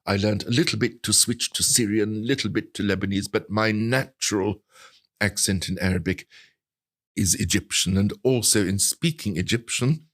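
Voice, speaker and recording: An older man of about seventy with an English accent, telling anecdotes in a reflective, articulate and measured way as an interview guest. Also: fairly low pitch. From England. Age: older, around 70. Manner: articulate, measured storytelling with precise wording. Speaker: interview guest, translator and writer.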